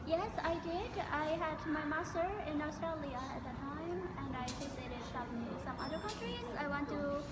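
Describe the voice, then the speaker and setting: A woman with an Indonesian accent answering interview questions casually, explaining in an animated way and sometimes searching for words. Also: sits high, normal speed, hardly any pauses, a loud crowd. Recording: echoey hall with loud background noise.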